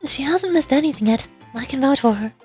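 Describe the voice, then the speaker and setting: Casual female VTuber-style streamer, high voice, faint music underneath. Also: animated, brisk, a little mellow. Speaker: streamer and VTuber voicing game dialogue. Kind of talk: casual livestream commentary.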